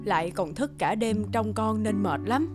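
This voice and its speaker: A woman's voice: mid-range voice, lively intonation, normal speed, natural voice. A woman voicing a caring, firm middle-aged aunt in a cartoon. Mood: gentle concern. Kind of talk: cartoon voice acting. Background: prominent music.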